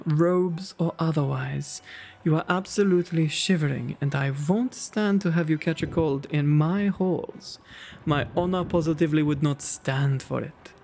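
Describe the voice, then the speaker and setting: A man's voice: fairly high pitch, gravelly, darkish tone. A man playing a kind, genteel vampire host in an ASMR roleplay, with doting, courteous, old-fashioned phrasing. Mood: genial.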